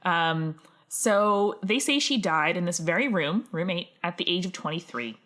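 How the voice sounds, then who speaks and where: A young adult woman hosting a podcast, chatting casually and retelling stories with animated, slangy asides. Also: fairly low pitch, moderate pace. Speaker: podcast host.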